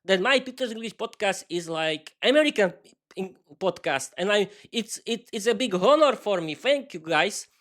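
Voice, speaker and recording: Man in his 30s, native Slovak, enthusiastic podcast host, halting speech, repeats words. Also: sits high, expressive, fairly bright tone. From East Slovakia. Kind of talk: improvised solo podcast monologue.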